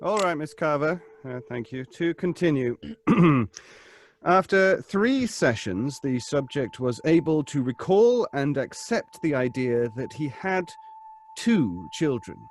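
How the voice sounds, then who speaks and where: A man playing a calm, measured psychiatrist in a live radio play, dictating in a clinical way. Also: a little high, slight background noise. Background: faint live music.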